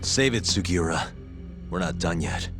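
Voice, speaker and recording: Male interrogator: very low pitch, dogged, firm and controlled, game drama with clear music behind. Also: expressive, moderate pace. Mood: stern, pressing. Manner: terse, firm. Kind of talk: dramatic video game cutscene acting.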